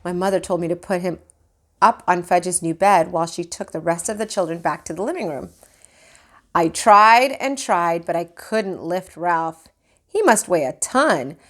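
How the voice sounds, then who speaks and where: Animated woman reading a children's story. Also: on the low side. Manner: animated, acts out dialogue. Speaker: teacher reading a book aloud to pupils. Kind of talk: expressive children's story read-aloud.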